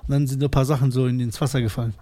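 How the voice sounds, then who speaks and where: A man's voice: mid-range voice, rapid-fire. A man in his thirties, a stand-up comedian guesting on a casual podcast, telling stories animatedly and laughing often. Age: 30s. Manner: animated storytelling with bursts of laughter. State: sore throat.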